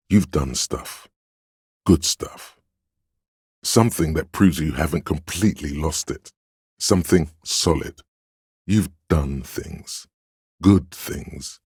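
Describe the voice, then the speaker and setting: Game role: stern, imperious male voice of authority, extremely low register, dry close sound, declamatory delivery. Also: darkish tone, husky, no room sound, heavily acted. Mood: commanding, urgent. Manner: declamatory, imperious, clipped pronouncements. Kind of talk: scripted video game narration and voice acting.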